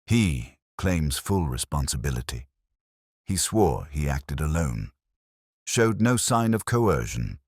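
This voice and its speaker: A man's voice: extremely low pitch, sing-song, slow pace, no room sound, studio quality, heavily acted. A man voicing a practical, sceptical police inspector in an audiobook, matter-of-fact. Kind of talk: audiobook detective story dialogue.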